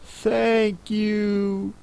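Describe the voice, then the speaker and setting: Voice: medium pitch, little pitch movement, drawn-out words, vocal fry, darkish tone, clear, resonant tone, no room sound, pitched above the performer's own voice. A reader voicing a timid, polite and thoughtful young girl in a storybook, hesitant and full of wonder. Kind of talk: storybook character reading.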